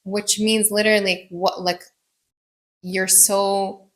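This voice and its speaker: Bright-voiced woman chatting on a podcast. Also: mid-range voice, takes it slowly. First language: not Arabic; speaks it as a second language. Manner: animated storytelling, acts out quoted remarks. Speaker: podcast co-host. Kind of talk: casual conversational podcast chat.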